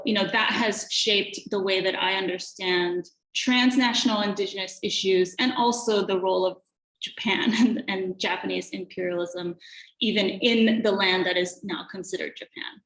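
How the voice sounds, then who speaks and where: A woman in her thirties from Hawaii giving a thoughtful academic presentation, pausing often with fillers. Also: mid-range voice, fairly even intonation, a bit bright. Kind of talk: conference presentation with slides. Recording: echoey room.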